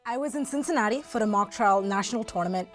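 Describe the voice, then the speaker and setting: A young university student from Singapore recalling personal experiences in an interview, candid and reflective in a conversational way. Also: female voice, mid-range voice, fast talker, faint music behind. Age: young adult.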